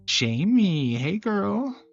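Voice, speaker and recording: Man voicing a bubbly, higher-pitched woman. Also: very animated, drawn-out words, creaky, dull audio, soft background music, heavily acted. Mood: friendly. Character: friendly, chatty woman named Donna.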